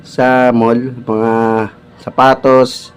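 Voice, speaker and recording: A man's voice: medium pitch, little pitch movement, very slow. A Filipino man on a casual prank call, posing as a delivery rider with polite, hesitant patter. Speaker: prank-calling vlogger. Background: music.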